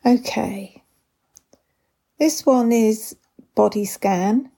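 A woman guides a meditation at an extremely slow pace, leaving long pauses between gentle instructions. Her voice is soft and breathy, with a mellow tone and plenty of creak at the edges. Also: mid-range voice. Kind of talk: guided body scan meditation.

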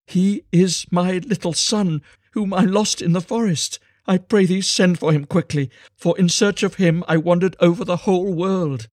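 Male reader as a ragged beggar mother, high voice, anguished, imploring, archaic storybook drama. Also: animated, measured pace, a little mellow, no echo, clean studio sound. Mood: joyful, pleading. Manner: archaic, imploring, emphatic speech. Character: ragged beggar woman, long-lost mother, anguished and pleading.

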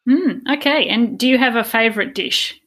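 A woman's voice: on the high side, normal speed, a bit bright, slightly rough. A woman hosting a podcast, interviewing in a conversational, polite and encouraging way. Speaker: podcast host.

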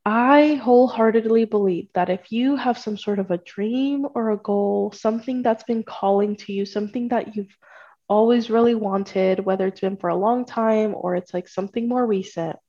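A woman's voice: mid-range voice, moderate pace, warm tone. Woman podcast host with a stuffy, congested voice, chatty and reassuring, recorded on slightly dull lo-fi audio. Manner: chatty, often checking in with "right?" and "okay?". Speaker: podcast host and spiritual life coach. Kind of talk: casual solo podcast talk. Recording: dull lo-fi sound.

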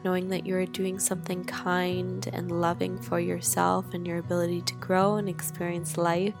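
Low, creaky-voiced woman guiding meditation. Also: monotone. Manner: soothing, gentle guiding delivery with drawn-out phrases and pauses. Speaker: meditation guide, host. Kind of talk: guided meditation narration. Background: guitar music.

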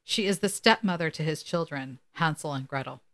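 A woman's voice: low voice, a little fast, fairly bright tone. A woman co-hosting a casual podcast, reading articles aloud and dropping in wry asides. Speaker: podcast co-host.

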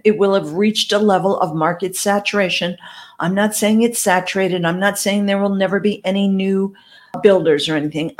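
Woman, business coach, direct, emphatic, conversational advice. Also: mid-range voice. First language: English. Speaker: network marketing coach. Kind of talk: advice talk to camera.

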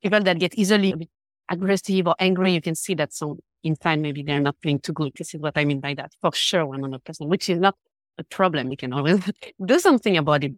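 A woman's voice: low voice, fast talker, dry recording. Woman. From Switzerland. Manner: lively, laughs often, searches for English words. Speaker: guest, confidence coach. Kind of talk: casual interview chat.

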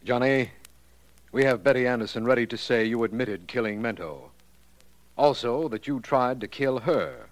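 Man as firm district attorney, dry close sound, lively pitch, radio play. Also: no room sound, close to an everyday voice, poor audio. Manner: firm, official. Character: stern male district attorney, firm and official. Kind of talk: 1940s radio acting.

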